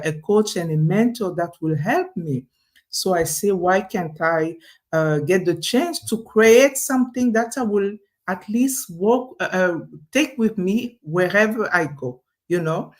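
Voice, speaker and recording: Woman, from Congo, interview guest, chatty, fillers, video call. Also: lowish voice. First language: French. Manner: chatty, laughs often, many fillers and restarts. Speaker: guest, online business owner. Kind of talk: casual interview talk.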